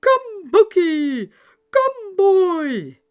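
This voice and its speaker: A woman voicing an elderly Santa in a children's storybook, fretting and exclaiming theatrically with drawn-out words. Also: very high-pitched, very animated, a little mellow, pitched above the performer's own voice, full character voice. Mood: hopeful, calling out. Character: upset, worried old Santa, elderly man fretting over reindeer.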